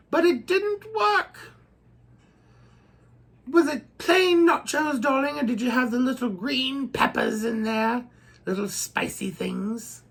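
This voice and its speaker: Woman playing a high-pitched, drawling posh English duchess. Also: exaggerated voice. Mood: cheeky, advising. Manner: grand, theatrical, drawn-out delivery with affectionate endearments. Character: posh, bawdy, self-adoring English duchess, middle-aged or older woman. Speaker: host. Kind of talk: comic character monologue answering fan letters.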